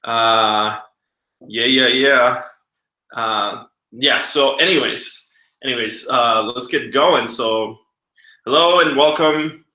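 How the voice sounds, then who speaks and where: Bright-voiced man in an echoey room. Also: mid-range voice, slow pace. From Guatemala. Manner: informal, slangy, chatty. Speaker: webinar host and marketing coach. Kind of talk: casual webinar presentation.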